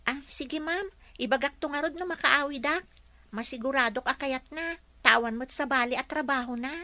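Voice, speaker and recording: A woman plays a poor schoolgirl, dutiful and eager to help, in an audio drama. Her voice is high and bright, with an Ilocano accent. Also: moderate pace, no room sound. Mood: polite. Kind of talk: Ilocano radio-style drama acting.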